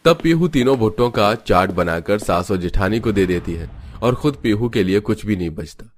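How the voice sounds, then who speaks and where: A man's voice: on the low side, expressive, normal speed, faint music behind. A man narrating a scripted cartoon family story.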